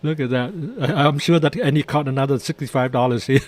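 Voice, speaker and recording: A man's voice: medium pitch, fairly even intonation, quick pace. A man with doing casual live trading commentary, chatty and jokey, often repeating his phrases. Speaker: day trader hosting a live trading stream.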